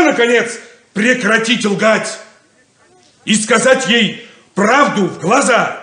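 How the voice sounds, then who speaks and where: An actor playing a stern, blunt, domineering older brother in a 1970s Soviet radio play. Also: male voice, a little high, fairly bright tone, reverberant. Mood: harsh, insistent. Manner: domineering, deliberate, lecturing.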